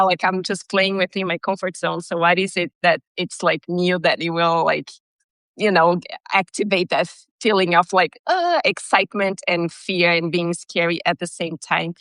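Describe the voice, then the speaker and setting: A woman's voice: fairly low pitch, fairly bright tone, no room sound. A woman whose first language is Portuguese, hosting a podcast over a video call and asking a long, rambling question full of fillers. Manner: searching for words, lots of "like" fillers. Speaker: podcast host. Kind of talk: conversational interview question.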